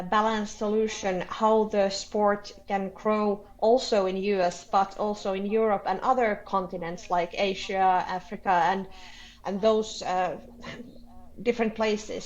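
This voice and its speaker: A woman's voice: mid-range voice, rough recording. Finnish-accented woman, hesitant interview guest. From Finland. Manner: hesitant, halting non-native phrasing. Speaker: interview guest, board candidate. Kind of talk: unscripted interview answers.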